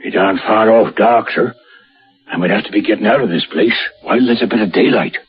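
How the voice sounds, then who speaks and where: An actor playing a rough common sailor, deferential but defensive and colloquial, in a radio drama. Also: male voice, lowish voice, fairly quick. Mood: uneasy. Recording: dull radio recording, faint music behind.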